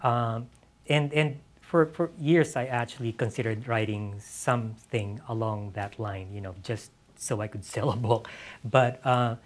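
A middle-aged man, a novelist, speaking thoughtfully and haltingly in an on-stage conversation, often repeating words. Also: mid-range voice. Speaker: novelist, guest author. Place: seated on an auditorium stage. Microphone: lapel mic.

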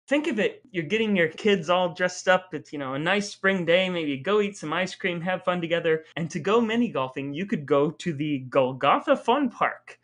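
Man co-hosting a podcast, slightly high voice, wry playful storyteller. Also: a bit bright. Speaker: podcast co-host. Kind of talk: casual chat with scripted segments.

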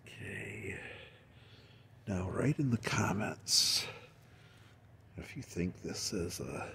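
A man giving a soft, halting whispered ASMR tour of a backyard pool area outdoors at dusk, recorded on a handheld camera. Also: deep voice, gravelly, a little hiss or hum. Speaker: ASMR creator. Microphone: handheld camera, filming his own hands.

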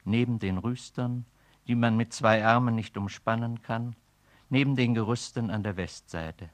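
An actor speaking a weary man's poetic inner monologue in a radio play, slow and brooding. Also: medium pitch, close to an everyday voice. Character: weary, brooding man musing on decay.

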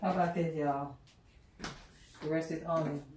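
A woman's voice: low voice, fairly even intonation, moderate pace, very noisy. A middle-aged woman cooking at home, chatting casually to viewers with lots of fillers and y'all. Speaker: cooking vlogger. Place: home kitchen, indoors. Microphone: far camera on counter. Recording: roomy kitchen sound from a distance.

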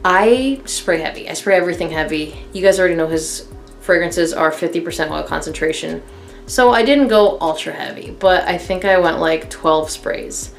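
A woman's voice: fairly low pitch, moderate pace, gravelly, faint music behind. A woman in her thirties casually reviewing a fragrance to camera from her living room, candid and chatty with many fillers. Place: living room in front of a couch, indoors.

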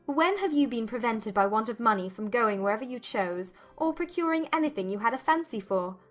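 Woman acting spirited young Regency gentlewoman, higher-pitched. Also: animated, a little fast, close to an everyday voice. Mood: teasing, challenging. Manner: arch, playful, formal phrasing. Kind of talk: dramatized audiobook acting. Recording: music behind the voice.

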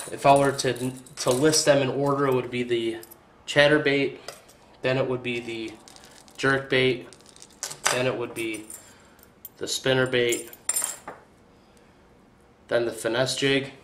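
A young man hosting a casual fishing video indoors, talking in a relaxed, hesitant way with frequent fillers, recorded on a camera mic at a counter. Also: mid-range voice, measured pace, long pauses, slight background noise. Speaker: fishing channel host. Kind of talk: casual tackle review. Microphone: camera mic on tripod.

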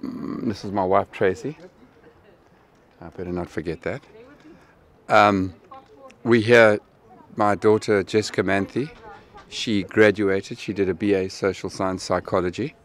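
A man's voice: sits low, fairly even intonation, unhurried. A middle-aged man speaking hesitantly, with many ums. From South Africa. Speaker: graduate's father. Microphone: handheld furry mic.

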